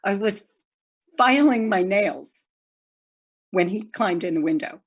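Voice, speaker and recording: An actress playing a guilt-ridden woman in a Zoom play, giving a halting, emotional confession that swings from loud to hushed. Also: mid-range voice, lively intonation, breathy edge. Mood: anxious recounting. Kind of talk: dramatic monologue in a Zoom play. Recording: video call audio.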